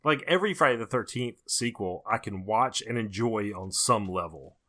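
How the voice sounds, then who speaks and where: A man's voice: on the low side, animated, normal speed, bright, forceful tone. A man co-hosting a horror movie podcast, giving casual, opinionated commentary and repeating his points for emphasis. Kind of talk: casual opinionated film discussion.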